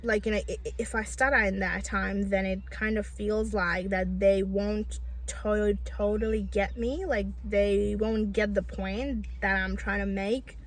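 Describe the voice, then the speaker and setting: A woman's voice: mid-range voice, fairly even intonation, unhurried, few pauses. A woman speaking in a personal vlog, stuttering with repeated sounds and restarts, earnest and reflective with many fillers. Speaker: vlogger.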